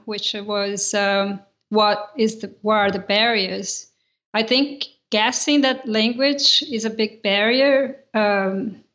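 A woman co-hosting a podcast in casual conversation, measured and thoughtful. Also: medium pitch, a bit bright. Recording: dull lo-fi sound.

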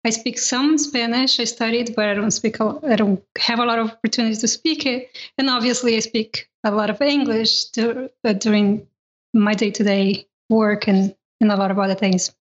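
A woman with fairly high pitch whose first language is Portuguese speaks English on a podcast. She is earnest and emphatic in casual panel talk. The audio is dull and lo-fi. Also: steady tone, moderate pace. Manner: earnest, emphatic, tells personal preferences. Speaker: podcast co-host.

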